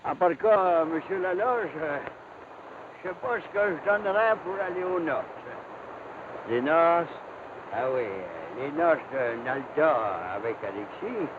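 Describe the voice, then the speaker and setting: Thin, phone-like old television sound with clear noise surrounds a folksy Quebec countryman. His voice is high for a male, and he speaks in an excitable, exclamatory rural manner. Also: measured pace. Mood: eager, folksy. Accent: Quebec French. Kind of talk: 1950s French Canadian television drama acting.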